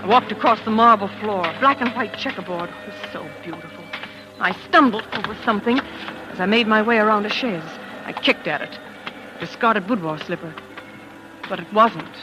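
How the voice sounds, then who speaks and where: An actress playing a shaken young wife who narrates a murder discovery in a hushed, dramatic 1940s radio style. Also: female voice, medium pitch, moderate pace, background noise, lightly acted. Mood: haunted, tense. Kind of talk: 1940s radio acting, first-person suspense narration. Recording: old radio recording with music underneath.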